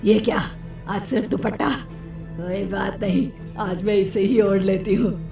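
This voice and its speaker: A woman's voice: mid-range voice, steady tone, unhurried, gravelly, far from the mic, music in the background. A woman voicing a vain, spiteful, thieving witch in a horror-comedy story, theatrical and gloating with cackles. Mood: scheming. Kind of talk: Hindi horror-comedy cartoon story acting.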